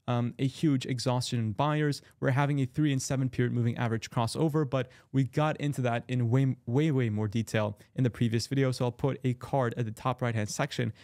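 A young man with a slightly sore throat, walking through trading charts in quick, casual talk with many fillers and restarts, close to a studio mic in a small room. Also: mid-range voice, fairly even intonation, darkish tone, no room sound. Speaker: crypto chart analyst. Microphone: large studio mic close in frame.

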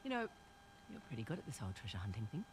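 A wry, easygoing treasure huntress from an adventure game. She talks in a casual, teasing way, like a seasoned adventurer. Outdoor ambience and vehicle noise sit clearly behind her voice. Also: extremely low pitch, wildly swooping pitch, very fast, heavily acted. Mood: wry, reflective. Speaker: video game voice actor. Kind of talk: naturalistic game dialogue.

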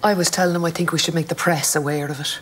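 An actress as low-voiced, determined Dublin mother. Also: fairly even intonation, fast talker, slight background noise, lightly acted. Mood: concerned. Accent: Dublin Irish. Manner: insistent, questioning. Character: determined, challenging middle-aged campaigning mother. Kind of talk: television drama acting.

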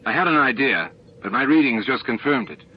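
A man's voice: medium pitch, lively intonation, brisk, a little hiss or hum, natural voice. Man reading as an eager engineer, muffled recording. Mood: keen explaining. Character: male starship engineer, eager, technically minded explainer.

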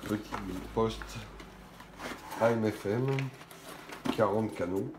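A middle-aged man giving an unscripted hands-on product demonstration, speaking in halting, stop-and-go phrases with trailing pauses. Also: fairly low pitch, fairly even intonation, noisy background. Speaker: product presenter. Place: indoors at a wooden table in a small shop or office.

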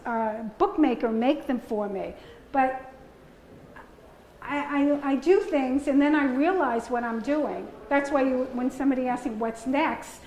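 A woman's voice: high voice, darkish tone, slight background noise. An older woman in her seventies with a Bronx New York accent, answering audience questions at a museum talk in a chatty, rambling way with frequent laughter. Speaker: artist giving a talk. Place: museum gallery before a seated audience. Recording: roomy gallery with faint ambience.

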